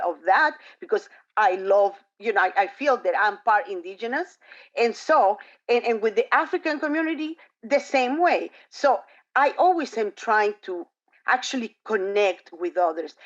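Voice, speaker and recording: Earnest woman on a dull video call. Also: mid-range voice. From outside the United States. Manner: expressive and earnest, many fillers. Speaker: panelist. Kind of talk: panel discussion on a video call.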